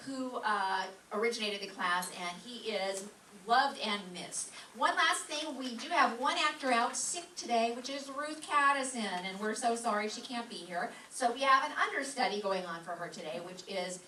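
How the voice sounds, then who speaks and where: Bright-voiced woman welcoming an audience, roomy sound. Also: fairly high pitch, moderate pace, hardly any pauses. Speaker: class director introducing the show. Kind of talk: informal welcome speech to an audience. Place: live show room with small audience. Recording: roomy, distant mic, faint noise.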